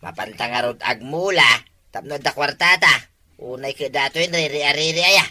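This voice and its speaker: Low, bright Ilocano woman. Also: moderate pace. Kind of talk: Ilocano comedy skit acting.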